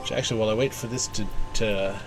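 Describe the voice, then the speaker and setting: A man's voice: lowish voice, steady tone, quick pace, music in the background. A man doing casual live gameplay commentary as a streamer, rambling and thinking aloud as he goes. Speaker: game streamer.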